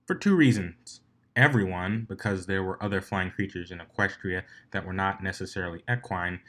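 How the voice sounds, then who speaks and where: A man with a fairly low voice reads a story aloud. He delivers it as scripted narration, in the role of a fan fiction reader. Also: normal speed, a bit bright.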